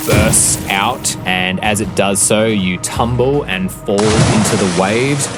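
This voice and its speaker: A man working as a game master, narrating a tabletop fantasy game in a measured, deliberate, descriptive storytelling manner. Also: on the low side, fairly even intonation. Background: background music.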